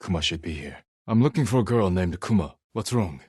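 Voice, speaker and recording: A stern, honorable young samurai warrior from a dramatic video game. His voice is deep and husky, close and dry. He speaks in terse, measured lines with restrained, weary resolve. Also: animated, a little mellow, no room sound, full character voice. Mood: serious, concerned. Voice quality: low, husky voice.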